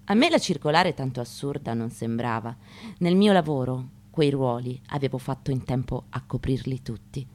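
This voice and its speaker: A woman gives a dramatic literary reading in a measured, declamatory manner, with deliberate pauses. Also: a bass voice, a little mellow. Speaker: actress giving a stage reading. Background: acoustic guitar.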